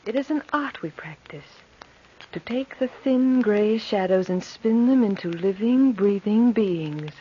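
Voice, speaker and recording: A woman's voice: medium pitch, animated. A woman playing a serene, sinister supernatural spinner of fates in an old radio horror drama, murmuring ominously. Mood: sly, proud. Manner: measured, ominous, breathy murmuring. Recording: dull old radio recording.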